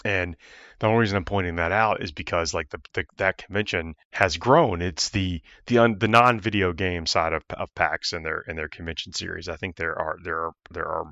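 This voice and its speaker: Male podcast host, deep and hoarse, bright forceful edge, rambling, dry and slightly dull sound. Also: fairly even intonation, fairly quick, no echo. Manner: rambling, with many restarts and fillers. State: hoarse, ragged voice. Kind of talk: casual podcast chat.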